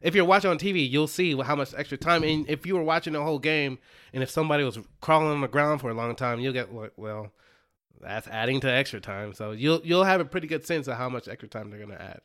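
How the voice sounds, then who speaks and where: A man's voice: medium pitch, quick pace, fairly bright tone. A man co-hosting a podcast, chatting casually about sports, laughing often and restarting his sentences. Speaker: podcast co-host.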